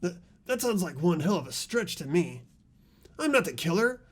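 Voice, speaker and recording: A man's voice: high-pitched, sing-song, moderate pace, husky, exaggerated voice. A man voicing a hot-headed, defensive young male student accused of wrongdoing in an amateur video game dub. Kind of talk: amateur voice acting in a visual novel playthrough.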